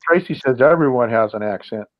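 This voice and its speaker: A man's voice: mid-range voice, expressive, a little fast, lo-fi sound. A man on a livestream who reads viewers' chat comments aloud and teases the others. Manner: teasing, mock complaining. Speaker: co-host reading live chat.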